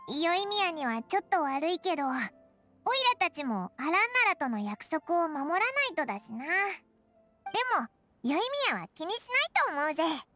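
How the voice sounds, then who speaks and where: A woman voicing a tiny, cheeky, childlike fairy companion in an anime-style game, squeaky and eager. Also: animated, a little fast, a bit bright. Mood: musing. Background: game music.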